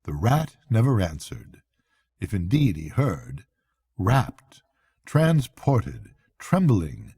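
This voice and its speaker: A man's voice: fairly low pitch, dark tone, breathy edge, dry recording, studio quality. An older man narrating a classic storybook as bedtime reading.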